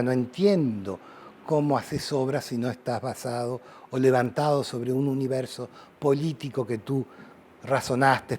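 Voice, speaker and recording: A man's voice: medium pitch, a little mellow. A middle-aged man from Uruguay with a Rioplatense Spanish accent, an artist reflecting at length in an interview, with many fillers and restarts. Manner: thoughtful, rambling, frequent fillers.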